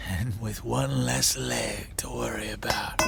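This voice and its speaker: A man playing a gravelly, blustering old hunter. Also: mid-range voice, fairly even intonation, takes it slowly. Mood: haunted warning. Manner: blustering, shouting boasts then dropping to hoarse whispers. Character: bitter one-legged male veteran monster hunter, loud and boastful. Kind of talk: audio drama acting.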